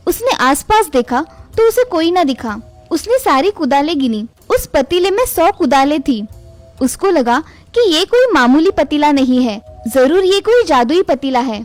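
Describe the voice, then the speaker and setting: Female storyteller, notably high pitch, scripted children's tale narration, light music behind.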